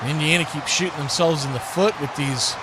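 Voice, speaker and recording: A man's voice: fairly high pitch, brisk. A man calling live American football play-by-play in short, clipped phrases on a live stream. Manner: clipped phrases, raises voice on big plays. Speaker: play-by-play commentator. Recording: noisy live stream with background noise.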